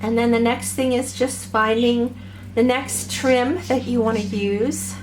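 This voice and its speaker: Slightly hoarse woman, higher pitch, very unhurried meandering craft-tutorial delivery. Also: fairly even intonation, noisy background. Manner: gentle, meandering, many ums and uhs. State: has a cold, a little hoarse. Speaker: crafter showing her ornaments. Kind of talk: slow, chatty craft tutorial.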